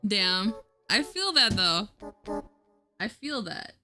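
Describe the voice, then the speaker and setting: A woman's voice: medium pitch, sing-song, slow, over music. A woman streamer chatting casually while reacting to clips, swearing and laughing, with a mixed accent. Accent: mixed online accent. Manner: loose, swearing, laughs often.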